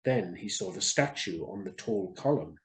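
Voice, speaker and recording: A man reading a children's story aloud as an expressive storyteller. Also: fairly low pitch, moderate pace.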